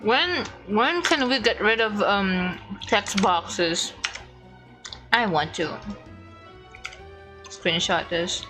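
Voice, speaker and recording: Streamer: young adult woman from the Philippines, casual and gushing, slow delivery over clear game music. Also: mid-range voice, low-quality recording. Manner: gushing, chatty. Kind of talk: casual livestream reaction commentary.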